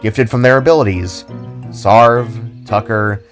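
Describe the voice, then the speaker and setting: A man's voice: on the low side, slow pace. A man reading scripted fiction as the storyteller, narrating the scenes and characters. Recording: background music under the voice. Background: music.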